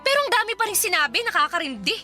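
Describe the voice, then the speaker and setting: A woman's voice: extremely high pitch, big pitch swings, very fast, bright, forceful tone, faint music behind, exaggerated voice. A woman playing an exasperated, nagging young wife and mother in a Tagalog radio drama, complaining emphatically. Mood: aggrieved, complaining.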